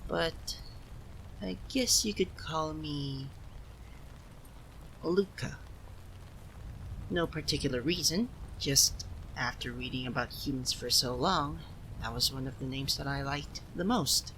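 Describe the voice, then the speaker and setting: Male actor for proud, indignant young cat-god, lightish male register, very measured pace, theatrical audio roleplay delivery. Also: on the high side, bright, forceful tone, slight background noise, exaggerated voice, low-quality recording. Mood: proud, composed. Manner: haughty, flustered, theatrical, easily indignant. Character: banished proud young male forest deity, cursed into cat form. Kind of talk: scripted ASMR roleplay addressed to the listener. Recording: rain sounds over the voice. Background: rain ambience.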